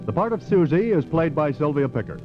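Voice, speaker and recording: A man's voice: fairly high pitch, very animated, a little fast. A man reading scripted programme credits as a 1940s radio announcer. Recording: dull old radio recording, loud music behind.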